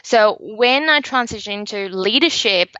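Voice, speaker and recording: Woman coach from Sri Lanka, guest; bright, crisp tone, dull dry remote sound. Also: mid-range voice, measured pace, dry recording. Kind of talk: podcast guest interview.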